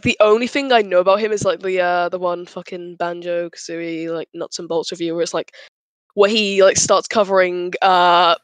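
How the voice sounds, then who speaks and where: Man, high voice, dry recording, halting, repeats phrases, casual group chat. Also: a bit bright, clear, resonant tone, dry recording. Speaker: podcast regular.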